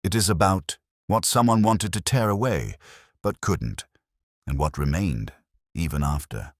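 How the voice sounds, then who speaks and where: A man narrating a scripted first-person detective story in a measured, reflective, literary manner. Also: low voice, dry recording, clean studio sound.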